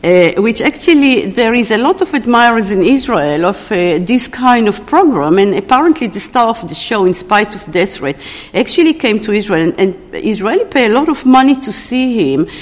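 A woman's voice: a little high, sing-song. Woman lecturing in a reverberant hall. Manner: halting, searching for words mid-sentence. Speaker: academic presenting an edited book. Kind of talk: conference presentation with slides. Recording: long reverb of a large hall.

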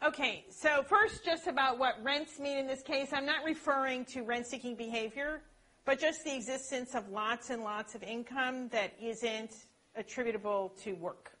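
A woman lecturer giving a talk and answering questions, animated, often checking in with the audience by asking "okay?". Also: sits high, normal speed, rough recording. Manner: animated, peppers points with "okay?" tags.

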